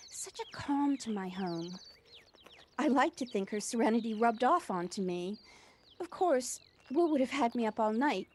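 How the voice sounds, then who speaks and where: A woman's voice: on the high side, darkish tone. An actress playing a proud, devoted widowed mother who runs a cafe, speaking earnestly and reflectively in an audio play. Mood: tender. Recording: noisy home recording on personal device.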